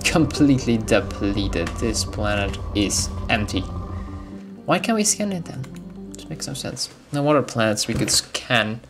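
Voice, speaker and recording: A man whose first language is Dutch, talking casually through a gameplay session with frequent ums and soft chuckles. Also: mid-range voice, animated, unhurried. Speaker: gamer. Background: video game music.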